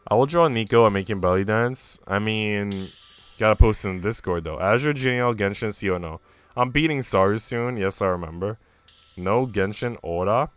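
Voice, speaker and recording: A man's voice: fairly low pitch, moderate pace, clean tone. A man live streaming in an informal, slangy way, moving between casual chat and reading aloud.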